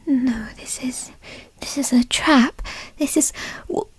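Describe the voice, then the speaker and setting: A woman playing a young, bratty but timid female fox spirit in an ASMR roleplay, breathy and stammering. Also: high-pitched, dry recording, exaggerated voice. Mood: startled, suspicious. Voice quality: breathy, often whispery. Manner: halting, stammering, skittish. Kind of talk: ASMR roleplay, scripted character acting.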